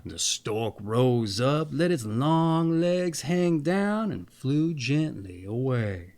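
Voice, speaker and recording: A man narrating a fairy tale in an expressive, deliberate storytelling manner. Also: mid-range voice.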